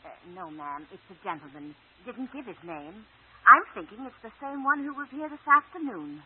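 An actress in an old-time radio drama playing a deferential housekeeper. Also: female voice, medium pitch, expressive, normal speed, slightly rough. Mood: deferential, confiding. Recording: old radio show, narrow phone-like sound.

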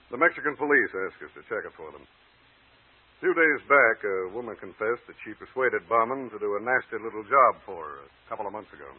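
An actor playing a blunt, insistent homicide detective in 1940s radio style, husky and matter-of-fact. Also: male voice, on the low side, animated, brisk. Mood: dry, businesslike. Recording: tinny, echoey old radio recording.

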